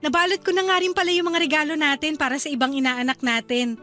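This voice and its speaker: A woman playing a cheerful young wife and new mother in a radio drama, talking in a bright, chatty and eager way. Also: sits high, fairly even intonation, fast, soft background music.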